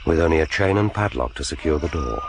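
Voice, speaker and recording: Very deep-voiced, grim, vengeful spy. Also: male voice, lively intonation, fast. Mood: wary, sardonic. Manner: brooding, sardonic inner monologue. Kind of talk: radio drama monologue. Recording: noisy radio drama recording.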